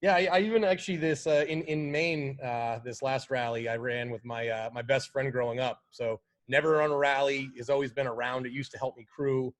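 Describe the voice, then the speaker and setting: A man at a middle pitch talks as a guest in a laid-back interview. He rambles in an easy, friendly way and leans on casual fillers as he speaks. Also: fairly even intonation, brisk, few pauses. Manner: easygoing, lots of fillers like "like" and "you know". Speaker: guest, pro rally driver.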